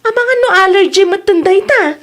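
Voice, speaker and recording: A very high-voiced young-sounding daughter played by a woman from an Ilocano radio comedy drama. She speaks with an Ilocano accent, earnestly nagging and fretting over her parents. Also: expressive, a little fast. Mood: worried, scolding. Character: concerned, scolding grown daughter who supports her parents.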